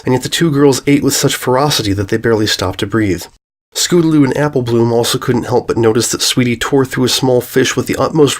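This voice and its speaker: Male narrator with a medium-pitched voice for scripted dramatic fiction reading. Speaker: story narrator.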